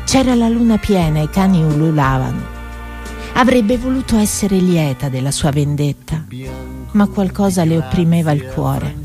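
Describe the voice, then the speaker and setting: A woman's voice: lowish voice, animated, moderate pace, mellow. A woman narrating a radio play as an actress, in a dramatic, tragicomic storytelling style. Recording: music behind the voice. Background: music.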